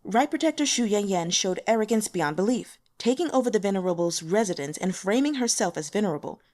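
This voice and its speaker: A woman's voice: mid-range voice, expressive, fairly quick, a little mellow, lightly acted. A woman voicing a stern, loyal male protector who speaks formally and decisively in a fantasy audiobook. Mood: stern judgment.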